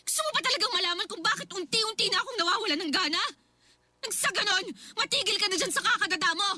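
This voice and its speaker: An actress playing a sullen, irritable younger sister in a radio drama, whiny, stubborn and defiant. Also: female voice, extremely high pitch, expressive, fast talker, pushed and bright, heavily acted. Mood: exasperated. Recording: music behind the voice.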